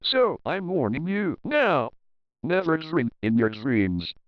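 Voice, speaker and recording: A man's voice: on the high side, sing-song, slow pace, dull audio. A man narrating a children's picture book as a readalong, reading rhyming verse expressively and rhythmically. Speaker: storybook narrator.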